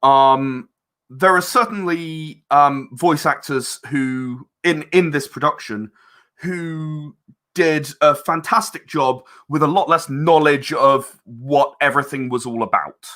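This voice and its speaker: Male interview guest, slightly higher-pitched and forward-sounding, thoughtful, modest, feeling his way through answers. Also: slow, pushed and bright. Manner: self-deprecating, hesitant and reflective. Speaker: interview guest, audio drama writer and director. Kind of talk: conversational interview.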